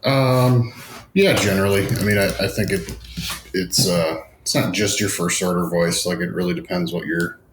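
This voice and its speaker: Deep-voiced man in a roomy echo. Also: fairly even intonation, moderate pace. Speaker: podcast panelist. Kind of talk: casual explaining. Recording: roomy echo with faint noise.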